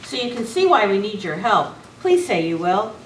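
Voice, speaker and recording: A woman's voice: medium pitch, sing-song, normal speed, lightly acted. A woman playing a polite, concerned university president in an amateur radio script reading, with formal manners. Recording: roomy, distant camera mic, faint noise.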